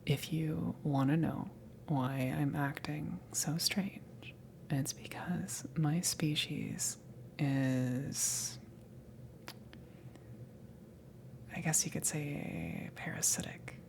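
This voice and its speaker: A woman playing a flustered, self-conscious alien crewmember in an ASMR roleplay, breathy and stammering, smitten with the listener. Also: deep voice, very animated, background noise, heavily acted. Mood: awkward, confessional. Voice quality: breathy, creaky, often whispered. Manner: hesitant, stammering, slow, awkward.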